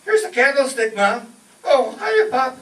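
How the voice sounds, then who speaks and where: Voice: sits high, very animated, measured pace, a bit bright, full character voice. A performer playing an eager young son in a 1940s-style radio sitcom. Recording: echoey room, distant mic.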